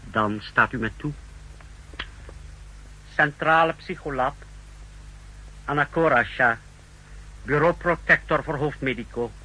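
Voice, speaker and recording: An actor playing a precise, deferential secret-service laboratory chief in a 1960s radio drama, with a formal, clinical manner. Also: male voice, a little high, takes it slowly, long pauses, bright, forceful tone, husky, heavily acted, low-quality recording. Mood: clinical, businesslike. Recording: vintage 1960s radio recording.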